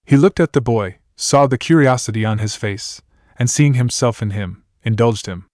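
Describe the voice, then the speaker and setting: A man reading a fan fiction story aloud in a steady, plain way. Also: medium pitch, normal speed, dry recording, clean studio sound. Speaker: narrator.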